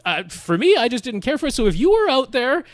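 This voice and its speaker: A man co-hosting a podcast in casual, opinionated film chat, talking in an animated, rambling, self-deprecating way. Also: extremely high pitch, fast, no room sound. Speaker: podcast co-host.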